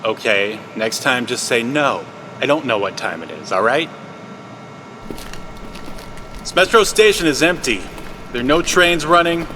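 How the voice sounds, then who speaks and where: A man's voice: mid-range voice, lively intonation, moderate pace, close to an everyday voice. A man playing a sarcastic, bewildered everyman on the run in an audio drama, questioning everything in disbelief. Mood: wry, puzzled. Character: Ray, sleepless, bewildered, wisecracking everyman on the run. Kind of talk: audio drama acting with personal-note narration. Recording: echoey, noisy city ambience.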